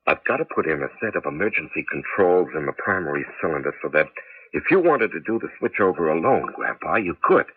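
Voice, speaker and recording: An eager, earnest cabbie and home inventor in a 1980s radio play. The voice is fairly low and husky, with very lively intonation. It comes through a thin, tinny broadcast sound. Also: male voice, a little fast, a little hiss or hum. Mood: hurried, earnest. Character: eager, earnest male cab driver and amateur inventor. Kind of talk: 1980s radio drama acting. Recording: tinny, band-limited old radio broadcast.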